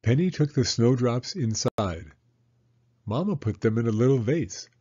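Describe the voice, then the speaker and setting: Mellow man reading a children's story. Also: mid-range voice, animated, unhurried, breathy edge, no room sound, lo-fi sound. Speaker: storybook reader. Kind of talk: children's story read-aloud narration.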